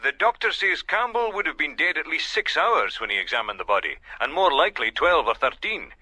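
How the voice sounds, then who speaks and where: An actor playing a dutiful, deferential Scottish police sergeant who reports formally and methodically in a radio drama. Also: male voice, fairly high pitch, big pitch swings, fairly quick, pushed and bright, no room sound, heavily acted. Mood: businesslike.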